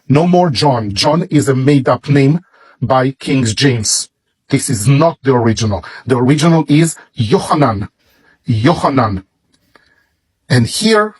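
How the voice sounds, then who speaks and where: A man with a Hebrew accent, a native Hebrew speaker, slowly and reverently showing off a bible as a product. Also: mid-range voice, darkish tone, breathy edge, poor audio. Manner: slow, deliberate, reverent. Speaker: seller presenting a bible. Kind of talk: product demonstration.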